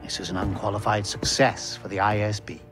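A male actor playing a proud, ruthless middle-aged intelligence director in a video game, haughty and deliberate. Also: lowish voice, level tone, music in the background, close to an everyday voice. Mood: smug. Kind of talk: cinematic video game acting.

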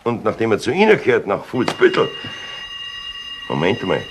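An actor playing a brusque, persistent Munich police inspector with a Bavarian accent in a German radio crime drama. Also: male voice, on the low side, wildly swooping pitch. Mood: businesslike. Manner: blunt, interrogating, deliberate emphasis. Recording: noisy 1970s radio play recording.

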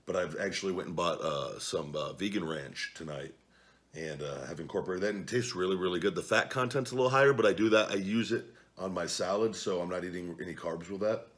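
A man in his forties chatting casually at home as a vlog host, reading viewer comments into a desk camera. He is blunt and profane, jumping from low mutters to loud outbursts. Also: brisk. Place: home living room at night, seated at a table.

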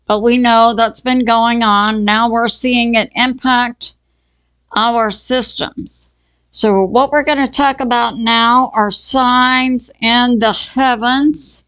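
A woman hosts her own commentary channel, her voice sitting a little high. She talks very slowly and haltingly, stopping often for fillers and starting phrases over. The sound is dry and close, with no room echo. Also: fairly even intonation, a bit bright, smooth. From Texas. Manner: slow, halting, with many fillers and restarts. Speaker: channel host. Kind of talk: casual news commentary.

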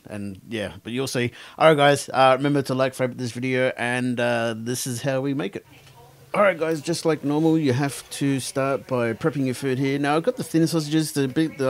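An Australian man in his thirties chatting casually to camera about cooking, rambling and laughing as he goes. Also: mid-range voice. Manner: laid-back, rambling, laughs often. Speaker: cooking vlogger. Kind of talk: casual chat. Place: indoor room with green screen.

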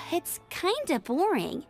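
An upbeat young scout heroine from a video game. Her voice is very high and animated, earnest and confident, with faint music behind. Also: extremely slow, heavily acted. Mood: cheerful. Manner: perky, earnest. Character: energetic, confident, upbeat teenage girl scout.

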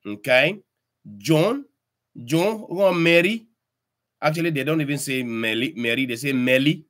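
A man's voice: on the high side, a bit bright. Older guy doing a youtube grammar lesson from his study, lots of uh and restarts, webcam mic. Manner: halting, many fillers and restarts, addresses viewers as friends. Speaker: language tutor. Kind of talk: language lesson to camera. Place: home study with bookshelves. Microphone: webcam at desk.

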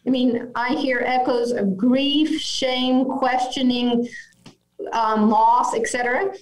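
A woman in her fifties, a physician speaking as a podcast guest over a video call, measured and gentle in her explanations. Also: a little high, fairly even intonation. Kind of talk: remote podcast interview. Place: office at home, indoors. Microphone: video call webcam. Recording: echoey room on video call.

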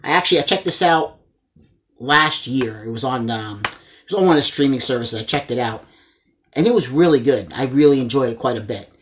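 A man in his forties talks to the camera as a channel host. His voice sits at an average pitch. He is enthusiastic and informal, presenting items in a casual, chatty way and addressing viewers as guys. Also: animated, brisk, a bit bright, slight background noise. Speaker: host of a movie collecting channel. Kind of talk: casual chat showing a haul to camera. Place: small indoor room lined with disc shelves.

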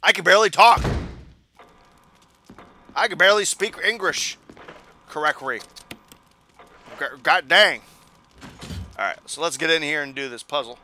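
A young man commenting on a video game as he plays, joking and mocking himself, with a hoarse voice that he says is going. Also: on the high side, measured pace, pauses often, a little hiss or hum. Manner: joking, self-mocking, animated. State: hoarse, losing his voice. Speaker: gaming channel host. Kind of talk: casual let's play commentary.

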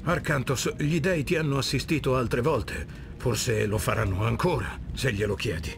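An actor playing a cautious, thoughtful centaur companion who speaks in a solemn, wondering way. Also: male voice, mid-range voice, normal speed, vocal fry, noisy background, natural voice. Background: background music.

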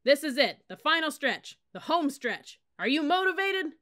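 Storybook: woman reads stern bespectacled male drill sergeant, curt, barks, bright tone. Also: medium pitch, lively intonation, fast, close to an everyday voice. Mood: rallying yell. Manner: gruff, barks commands.

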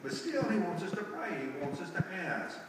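Older lay preacher, folksy and conversational, heard from far back in a reverberant church. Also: male voice, high voice, very animated, moderate pace, background noise. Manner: folksy, asks rhetorical tag questions. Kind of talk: sermon. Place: large church sanctuary, indoors. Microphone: far camera at the back of the sanctuary. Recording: echoey church hall, distant camera.

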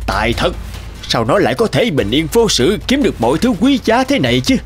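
An actor voicing a stern, scheming middle-aged lord in an animated folk tale, haughty and menacing. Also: male voice, a little high, a little fast, faint music behind. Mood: baffled, resentful.

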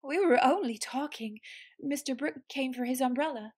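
A woman's voice: high-pitched, normal speed, a little mellow, husky. A woman playing a shy young woman who stands up for herself, in an audio drama. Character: shy young woman finding her courage. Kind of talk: radio drama acting.

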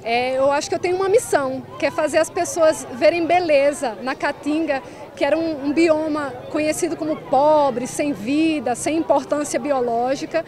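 High-voiced Brazilian woman at an outdoor fair. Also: moderate pace. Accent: Brazilian Portuguese. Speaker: biology professor. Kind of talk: street interview. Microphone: handheld reporter mic. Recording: crowd chatter outdoors.

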